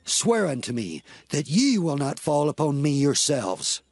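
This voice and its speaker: Gravelly, defiant young biblical strongman. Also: a little high, big pitch swings, unhurried, darkish tone, no echo, soft background music, exaggerated voice. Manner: declamatory, challenging. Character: Samson, proud, defiant, vengeful young strongman. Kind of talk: dramatized scripture acting.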